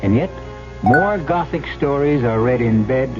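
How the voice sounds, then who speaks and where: A man's voice: medium pitch, expressive. Theatrical radio horror host, man, over music. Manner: measured, dramatic delivery. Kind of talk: theatrical 1970s radio horror-anthology hosting. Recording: dull old radio recording.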